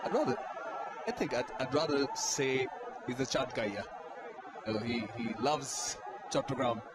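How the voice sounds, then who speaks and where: A man doing live cricket commentary, with his calls rising in excitement on big shots. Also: medium pitch, measured pace. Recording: loud stadium noise behind.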